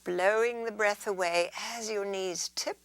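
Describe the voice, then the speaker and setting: A woman teaching yoga in a soothing, unhurried way, guiding relaxation and gentle stretches and drifting into breathy near-whispers. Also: mid-range voice, steady tone, dry recording.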